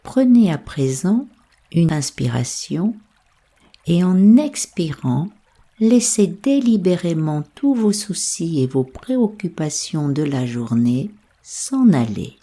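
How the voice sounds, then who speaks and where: A woman with a soft, breathy voice leading a guided sleep meditation in a hushed, soothing, unhurried way. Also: lowish voice, big pitch swings. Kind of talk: slow guided yoga nidra sleep meditation.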